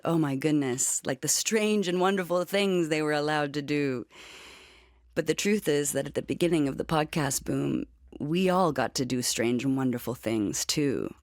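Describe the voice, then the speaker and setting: A woman audio producer talking conversationally in an interview, telling her experiences in an animated way. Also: low-pitched, no room sound, studio quality.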